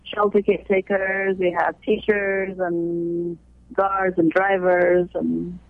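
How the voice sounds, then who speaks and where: A man's voice: high-pitched, little pitch movement, slow, vocal fry, no echo. A man from Afghanistan who was raised in the United States, earnestly explaining his women's aid organization in a radio interview, often restarting his sentences. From born in Afghanistan, raised in the United States. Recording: dull lo-fi audio.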